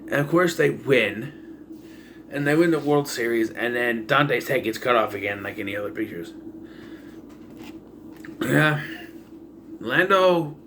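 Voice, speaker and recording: A man giving a casual, rambling, improvised recap as a reviewer of an animated series. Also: mid-range voice. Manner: loose, improvised, acting out quoted bits. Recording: faint background noise.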